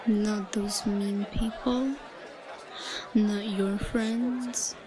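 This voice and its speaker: A woman playing a shy, obsessive, lovesick yandere schoolgirl in an intimate roleplay audio, breathy, whispery and halting. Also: medium pitch, steady tone, a crowd in the background. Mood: possessive devotion. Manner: giggly, halting. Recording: noisy, crowded ambient background.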